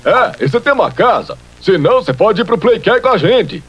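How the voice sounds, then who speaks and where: A man voicing a bookish, practical young critter in an animated cartoon dub. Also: sits high, fairly quick, lo-fi sound. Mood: thoughtful. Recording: loud music behind the voice. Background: music.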